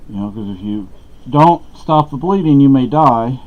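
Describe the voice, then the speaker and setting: A man's voice: mid-range voice, animated, normal speed. A middle-aged man from Texas talking casually to the camera at home, meandering with many fillers and restarts. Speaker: vlogger. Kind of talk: rambling casual talk to camera. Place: small dark room at home. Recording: dull lo-fi camera audio.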